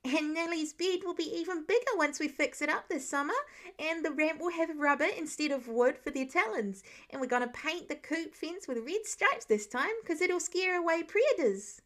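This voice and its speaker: A woman voicing an excitable, eager young boy with chirpy, childlike rambling in a story reading. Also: high-pitched, normal speed, voice pushed up. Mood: excited, eager. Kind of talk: storybook-style character reading.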